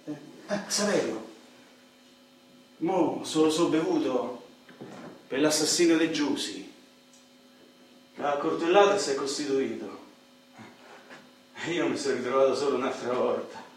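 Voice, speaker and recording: A man's voice: medium pitch, a little slow, long pauses, natural voice. An actor playing a middle-aged Roman ex-robber, a boastful and lonely braggart telling his story in theatrical, streetwise radio-drama monologue. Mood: tender, sad. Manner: animated streetwise storytelling, swinging from bragging to self-pity. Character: boastful, lonely ex-robber nearing fifty, coke-loving braggart. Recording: echoey stage hall, distant mic, faint noise.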